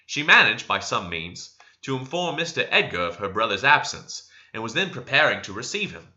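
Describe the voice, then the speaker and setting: Bright, animated man reading a classic novel. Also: medium pitch, moderate pace, lo-fi sound. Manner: expressive reading with occasional stumbles. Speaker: amateur audiobook reader. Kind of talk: scripted narration of a classic novel.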